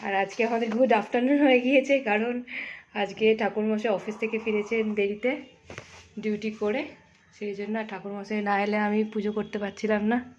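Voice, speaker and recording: A woman's voice: medium pitch, little pitch movement, normal speed, a little mellow. A young woman, chatting informally to viewers as she narrates a casual family vlog. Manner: chatty, informal, mixes in English greetings. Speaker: vlogger. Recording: dull lo-fi home video, faint noise.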